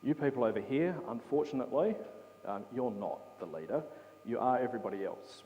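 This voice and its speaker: A man's voice: medium pitch, lively intonation, brisk, highs cut off. Man preaching in a reverberant hall. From England. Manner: conversational, checks in with listeners. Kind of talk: interactive Bible talk. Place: church hall.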